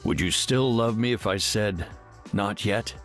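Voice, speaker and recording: A man voicing a devoted, brainy superhero husband in a video game, hesitant and gently dry. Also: lowish voice, measured pace. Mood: sheepish, affectionate. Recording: music behind.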